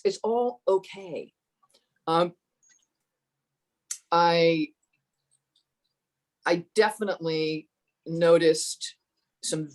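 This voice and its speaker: A woman with a fairly low voice telling her personal story as a podcast guest, thoughtful and earnest, often restarting her sentences. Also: a little mellow. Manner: thoughtful, halting, with many restarts.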